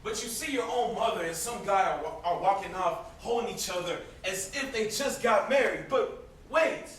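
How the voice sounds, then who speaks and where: A man acts out a bitter young brother in an amateur stage drama, with a high voice and biting sarcasm. He sounds distant in a heavily reverberant room, with faint noise behind him. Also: lively intonation, normal speed, fairly bright tone. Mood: sarcastic. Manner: sarcastic, confrontational. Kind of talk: amateur stage play acting. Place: indoor theatre stage. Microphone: distant camera microphone.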